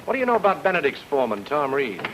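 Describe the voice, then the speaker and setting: An actor playing a glib, wisecracking private detective in an old-time radio drama. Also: male voice, a little high, very animated, racing. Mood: brisk, businesslike. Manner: glib, flippant. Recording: noisy old radio recording.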